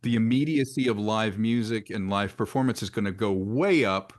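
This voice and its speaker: A man's voice: fairly low pitch, normal speed. A man making an earnest point as a panelist in a conversational discussion. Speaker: panelist, audio drama creator.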